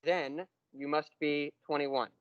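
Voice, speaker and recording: A man teaching a university math class, explaining step by step and slowing down on key terms. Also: fairly high pitch, flat delivery, smooth, no echo, muffled recording. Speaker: math lecturer. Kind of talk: classroom lecture.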